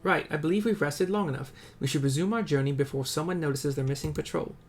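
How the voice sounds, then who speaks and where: A man's voice: a little high, quick pace, slight background noise, close to an everyday voice. A man voicing a battle-hardened woman fighter from a game, stern and resolute, with a firm delivery and a shifting put-on accent. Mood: earnest. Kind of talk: voiced game dialogue read aloud.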